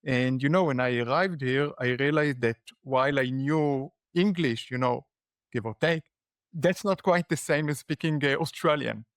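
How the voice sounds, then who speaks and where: A man with an Israeli accent, a guest giving relaxed, reflective interview answers with frequent fillers. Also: mid-range voice, moderate pace, smooth, dry recording, clean studio sound. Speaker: guest, startup founder and lecturer.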